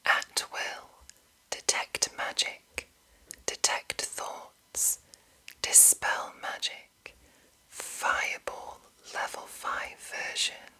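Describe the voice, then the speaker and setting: A woman whispering an ASMR reading in a breathy voice, deliberate and broken into short phrases with pauses. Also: pushed and bright, low-quality recording. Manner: slow, deliberate, pausing between short phrases. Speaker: ASMR host reading game monster entries.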